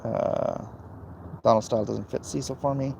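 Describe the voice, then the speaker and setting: A man with a creaky, gravelly voice and a middling pitch talks casually to his livestream chat. He is blunt and dismissive, muttering and humming between thoughts, over faint background noise. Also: steady tone, normal speed, mellow. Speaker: livestream host reading and answering chat comments.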